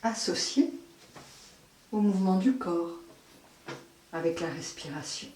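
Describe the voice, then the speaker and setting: A woman leading a guided yoga nidra meditation as an instructor, in measured short phrases with long pauses. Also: lowish voice, animated, a little mellow, a little hiss or hum. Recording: roomy echo, distant microphone.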